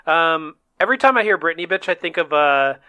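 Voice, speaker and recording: A man's voice: a little high, lively intonation, brisk, sharp tone, clear, resonant tone, muffled recording. A man hosting a casual gaming podcast, joking around and laughing often, with lots of fillers. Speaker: podcast host.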